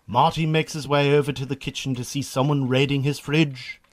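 A man's voice: medium pitch, steady tone, brisk. A man with a Northern Irish accent narrating a scripted radio drama as a storyteller.

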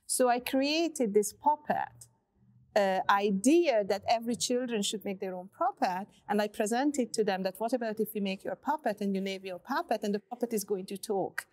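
Middle-aged woman, reflective panel host, pausing often, lapel mic. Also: mid-range voice, darkish tone. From Hungary. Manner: thoughtful, halting with frequent fillers. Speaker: artist and panel moderator. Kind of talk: relaxed panel conversation.

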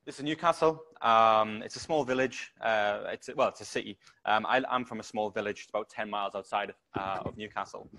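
A man with a Geordie accent speaks to a room of developers at a meetup. His voice sits fairly low, and he rambles along with plenty of ums and restarted sentences. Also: fairly bright tone. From England. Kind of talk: casual tech talk presentation.

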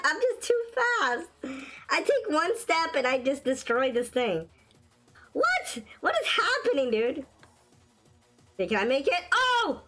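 A woman hosting a gaming video with casual, excitable commentary, her voice raspy from a sore throat. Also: high voice. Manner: excitable, shrieks and laughs at wins and deaths. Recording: faint game music behind.

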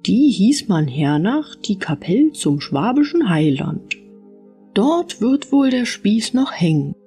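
A woman reading a scripted fairy-tale audiobook as narrator, with expressive storytelling. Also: mid-range voice, slow, soft background music.

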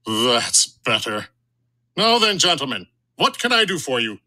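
A man voicing a blustering, gruff middle-aged sea captain who roars his lines. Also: medium pitch, big pitch swings, a little slow, bright, forceful tone, exaggerated voice. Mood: mellowed. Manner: roaring, blustery.

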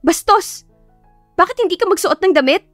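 A woman's voice: very high-pitched, expressive, moderate pace, fairly bright tone, no room sound, voice pushed up. A woman voicing a naive, feisty young bride in an audiobook, stammering and exclaiming shrilly. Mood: indignant, flustered. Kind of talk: audiobook character acting. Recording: music behind the voice.

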